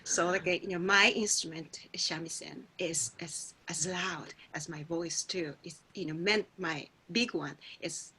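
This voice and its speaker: A middle-aged Japanese woman whose first language is Japanese, telling her story in halting English on a video call as a guest musician. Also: on the low side, bright, forceful tone. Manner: halting, searching for words in a second language. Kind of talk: reflective personal storytelling.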